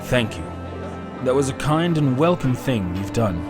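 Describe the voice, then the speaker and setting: A man's voice: medium pitch, very animated, normal speed, natural voice. A man playing an earnest, courteous commander in a fantasy audio drama. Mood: warm, courteous. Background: loud music.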